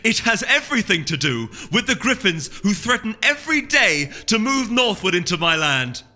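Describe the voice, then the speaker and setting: Man putting on a fiery, high-pitched pony duchess. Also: big pitch swings, moderate pace, heavily acted. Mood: angry, scornful. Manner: forceful, argumentative. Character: fiery, combative unicorn duchess, female, impatient and scornful.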